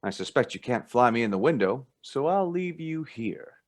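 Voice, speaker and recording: Male actor as young man, curious, polite, easily flustered, lively swooping pitch, radio play. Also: normal speed, lightly acted. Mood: quiet.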